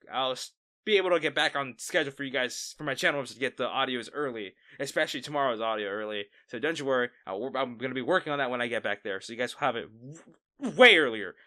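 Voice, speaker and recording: A man addresses his listeners as host of an audio channel. His voice is bright and animated. He rambles casually and often stumbles over his words. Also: fairly high pitch. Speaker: audio roleplay creator and host. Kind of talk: casual, chatty outro to listeners.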